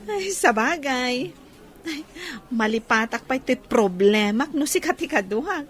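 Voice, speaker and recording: A woman's voice: high voice, lively intonation, a little slow. A woman with an Ilocano accent playing a lovesick young woman waiting for her boyfriend in a radio-style drama. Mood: wistful.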